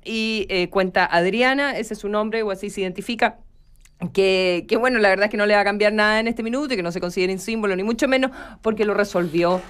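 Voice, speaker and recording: Clear-voiced Chilean woman explaining on radio. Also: mid-range voice. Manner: deliberate explaining with pauses and check-in tags. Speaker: radio show panelist. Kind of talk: live radio discussion, explanatory.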